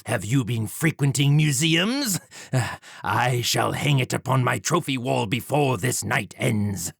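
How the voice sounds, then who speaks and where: A man voicing an arrogant, sneering gentleman surgeon who is a murderer, in an audiobook story, with a haughty, drawn-out delivery. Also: mid-range voice, expressive, vocal fry, breathy edge, no echo, studio quality, heavily acted. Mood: boastful, mocking.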